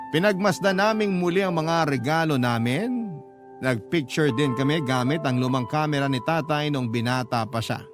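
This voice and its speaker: Casting: male storyteller for sentimental radio narration, medium pitch, clear music bed.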